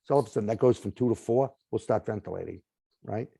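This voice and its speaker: A man with a Boston accent explains home systems in long conversational runs, tagging his points with a quick "right?". His voice sits low with a gravelly edge and a deeply mellow tone. It is recorded dry and close. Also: no room sound. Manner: explanatory, lists items, frequent "right?" tags. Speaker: smart home company guest. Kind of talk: conversational interview.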